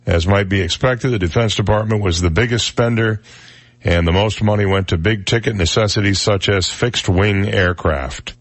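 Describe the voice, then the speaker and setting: A man's voice: fairly low pitch, normal speed, no echo. A man hosting a casual morning radio talk show, wry and conversational with lots of filler words, sounding a little stuffed up in the nose. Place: radio studio. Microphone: studio microphone.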